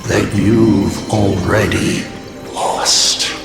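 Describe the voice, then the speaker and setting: An actor playing a theatrical male villain in a game cutscene, who taunts his foe with jokes and drawn-out phrasing. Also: lowish voice, little pitch movement, creaky, exaggerated voice. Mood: mocking. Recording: roomy reverb with loud music behind.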